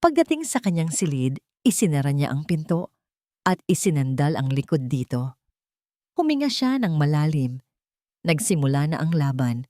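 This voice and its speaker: A woman storyteller reading a dramatic romance in a measured, emotive manner. Her voice sits low, and the close-miked recording is dry with no room sound. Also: very animated, mellow, no room sound. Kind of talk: dramatic audio story narration.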